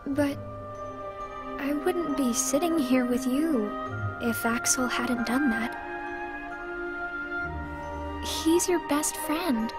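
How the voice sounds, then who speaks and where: A caring teenage girl in a video game cutscene. Her voice is high and soft, with an earnest, worried gentleness. Music plays clearly beneath her. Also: slow pace, long pauses. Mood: earnest, unsure.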